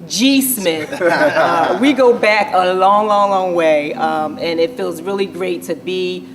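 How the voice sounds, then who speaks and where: A woman's voice: lowish voice, takes it slowly, crowd noise. A woman hosting a celebrity interview show, effusive and emphatic, repeating words as she introduces and praises guests. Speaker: talk show host, interviewer.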